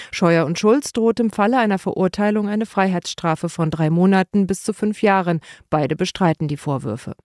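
A woman's voice: lowish voice, a little mellow, dry recording, clean studio sound. A woman reading a scripted news report as a radio reporter.

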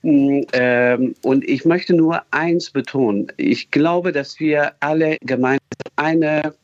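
A man's voice: fairly high pitch, takes it slowly, dry recording, dull audio. A man from Afghanistan calling a radio show, speaking earnestly and hesitantly with many fillers. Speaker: radio caller. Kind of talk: earnest call-in appeal.

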